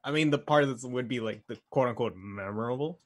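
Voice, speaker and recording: A man's voice: medium pitch, a little fast, no echo, studio quality. A man co-hosting a podcast, chatting casually in geeky banter. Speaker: podcast co-host.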